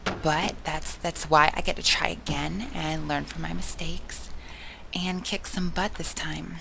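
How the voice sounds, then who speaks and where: A hoarse young woman chats through a video game, teasing herself and dropping into soft, breathy half-whispers. Her voice sits low and fairly flat, with a gravelly, frayed edge. A clear hiss of noise runs under the recording. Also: unhurried, rough recording. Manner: chatty and self-mocking, drops into soft whispery asides. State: hoarse, sore throat. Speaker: gameplay commentator. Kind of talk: casual let's-play commentary.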